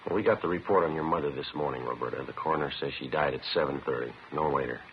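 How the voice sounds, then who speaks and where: A terse male detective with an extremely low voice and quick, clipped delivery. He questions in a deadpan, matter-of-fact way, in the manner of 1950s radio crime drama, on a muffled old recording. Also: slight background noise. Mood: deadpan, probing. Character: terse, methodical male police detective interrogating a suspect.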